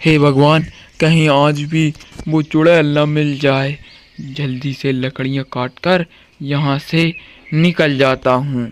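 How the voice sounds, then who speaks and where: A man's voice: fairly high pitch, flat delivery, slow pace, a little hiss or hum. A man playing a timid but crafty village woodcutter in a cartoon story. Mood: nervous.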